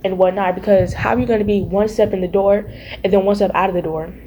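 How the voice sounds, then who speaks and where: A raspy-voiced teenage girl talking casually about her faith at home, chatty and playful, calling her viewers y'all and baby. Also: medium pitch, steady tone, fast, dark tone, slight background noise. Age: teens. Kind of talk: casual faith vlog chat. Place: home, early morning.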